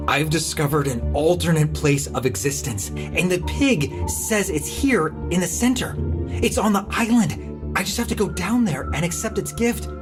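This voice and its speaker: A woman playing a drugged, obsessive scientist in an audio drama, halting and emotional, swinging between dread and grandiose fervour. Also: on the low side, natural voice. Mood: feverish, exultant. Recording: music under the voice.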